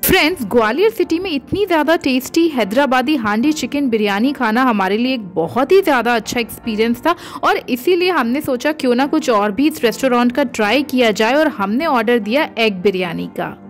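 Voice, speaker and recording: High-voiced Indian woman vlogger. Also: animated, a little fast, hardly any pauses. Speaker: food and travel vlogger, channel host. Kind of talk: scripted vlog voice-over. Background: background music.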